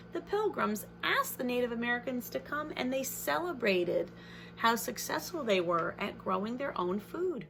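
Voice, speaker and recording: A woman reads a picture book aloud to young children in an expressive way, adding simple, friendly-worded explanations as a teacher would. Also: fairly high pitch, vocal fry, slight background noise.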